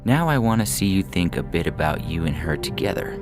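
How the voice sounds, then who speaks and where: A man voicing a calm, professional male medical technician in an audio short story, explaining procedures in a measured, reassuring way. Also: deep voice. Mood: gentle, guiding. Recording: music bed under the reading.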